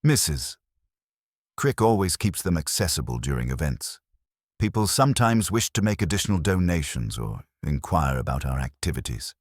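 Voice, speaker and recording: Deep-voiced man as a self-important gossipy lady. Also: very animated, moderate pace, no room sound, clean studio sound, full character voice. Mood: self-important relish. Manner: theatrical, emphatic, formal.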